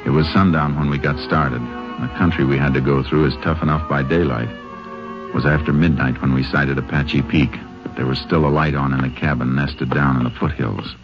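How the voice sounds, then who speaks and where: An actor playing a stern, shrewd Texas Ranger in a 1950s radio western, speaking in a clipped, laconic, commanding way. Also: male voice, very deep voice, steady tone, moderate pace. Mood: grave narration. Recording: muffled old 1950s radio broadcast, music behind.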